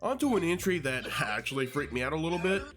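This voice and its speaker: A man's voice: a little high, big pitch swings, fast talker. A man narrating a video essay as a YouTube host, in a playful, conversational way. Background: music.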